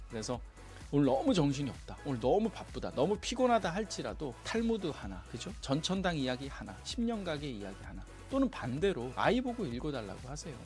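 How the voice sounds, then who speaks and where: Man presenting with lively, swinging intonation over music. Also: on the high side. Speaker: host of a parenting education channel. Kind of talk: informal presentation of book recommendations with advice to parents. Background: background music.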